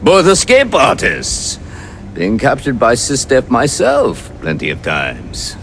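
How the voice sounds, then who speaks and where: A man playing a courteous, calculating space pirate captain in a video game, measured, formal and dryly amused. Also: mid-range voice, huge pitch swings, gravelly, a bit bright, a little hiss or hum, exaggerated voice. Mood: dry, gracious. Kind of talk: video game dialogue acting.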